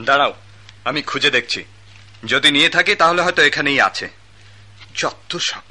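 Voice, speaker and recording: An actor playing a spoiled, hungover rich young son who is evasive and flustered. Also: male voice, on the high side, expressive, normal speed, pushed and bright, full character voice. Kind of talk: radio drama acting.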